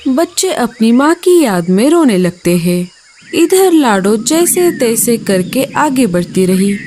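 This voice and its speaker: A woman narrating a children's cartoon story in an expressive, singsong way and speaking to young viewers. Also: on the high side, slow pace, noisy background.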